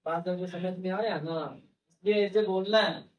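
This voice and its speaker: High-voiced teacher in a roomy classroom. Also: male voice, fairly even intonation, moderate pace, recorded from a distance, dull audio. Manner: questions the class over and over, repeats key phrases. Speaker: English teacher. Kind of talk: classroom lecture.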